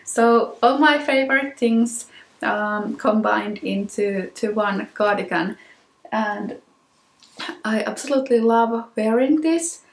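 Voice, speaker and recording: Slow Finnish-accented woman in echoey room. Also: on the high side. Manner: unhurried, many ums and restarts. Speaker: knitting podcast host. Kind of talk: casual solo talk to camera. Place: small room with plain wall, indoors.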